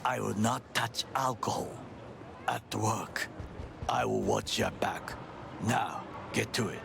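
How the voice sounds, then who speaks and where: An actor playing a stern middle-aged bodyguard in a video game, with a Japanese accent and formal, terse phrasing. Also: male voice, lowish voice, slow pace, gravelly, heavily acted. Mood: commanding, dry. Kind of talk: cinematic video game dialogue. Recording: game dialogue over street and vehicle noise.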